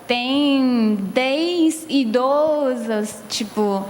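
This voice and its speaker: A young woman with a Chinese accent speaks slowly and haltingly, often pausing to find her words. Her voice sits a little high for a woman and rings through a long church reverb with a faint hiss underneath. She talks as a guest student giving a short testimony. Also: darkish tone. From China. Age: young adult. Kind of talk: hesitant testimony. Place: church sanctuary.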